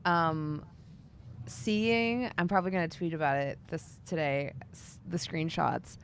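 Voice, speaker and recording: A woman's voice: low-pitched, a little slow, a little hiss or hum. A woman dungeon master chatting animatedly with her players, laughing and poking fun at herself. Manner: animated, self-deprecating, laughing storyteller. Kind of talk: casual chat before a tabletop game.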